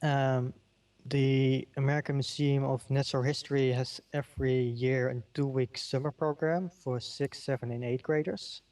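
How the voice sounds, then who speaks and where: A middle-aged man with a Dutch accent gives a keynote, pausing often with ums and uhs. His voice sits at an ordinary middle pitch. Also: fairly even intonation, a little mellow. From Netherlands. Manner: halting, many ums and uhs. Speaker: keynote speaker. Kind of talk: conference keynote in a virtual world.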